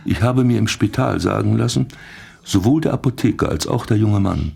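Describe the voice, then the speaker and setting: An actor playing a brooding, puzzled police commissioner in a radio play, husky and thinking aloud. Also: male voice, on the low side, animated, normal speed, mellow, full character voice. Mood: indignant. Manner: muttering, thinking aloud with exclamations.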